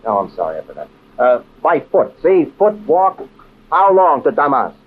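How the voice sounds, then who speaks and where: An actor playing a wry, determined American adventurer in an old radio drama, with a hard-boiled, colloquial way of talking. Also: male voice, on the high side, huge pitch swings, moderate pace. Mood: wry, urgent. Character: wry, determined American adventurer narrating his own story. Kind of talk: 1950s radio drama acting and narration. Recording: muffled, hissy old radio recording.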